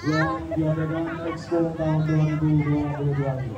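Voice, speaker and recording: A man's voice: on the high side, level tone, moderate pace, muffled recording, over loud music. A man announcing at an outdoor night fair, in a formal, upbeat emcee style. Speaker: event MC. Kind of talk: stage announcing over a PA. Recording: echoey PA heard from far off. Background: loud crowd and fairground noise.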